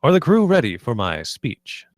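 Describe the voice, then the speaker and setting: A man's voice: mid-range voice, huge pitch swings, moderate pace, dry recording. Man playing a suave, middle-aged spaceship captain. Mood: grand, earnest. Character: suave fifty-something male spaceship captain, oddly sentimental. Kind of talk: comedy script table read.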